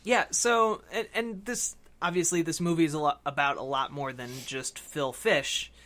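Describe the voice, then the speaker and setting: A man with a fairly high, very bright voice talks casually as a podcast co-host. He discusses film in a halting, wandering way, feeling out his points and hedging as he goes. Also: steady tone.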